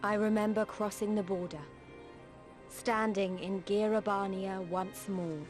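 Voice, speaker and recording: Woman acting as young female rebel fighter, earnest, solemn, determined, medium pitch, video game drama, prominent music underneath. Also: steady tone, unhurried, natural voice. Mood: solemn, reflective. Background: orchestral music.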